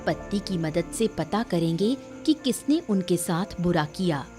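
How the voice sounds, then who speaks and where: Female narrator, scripted storytelling, lowish pitch, slow pace, music behind. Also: lively intonation.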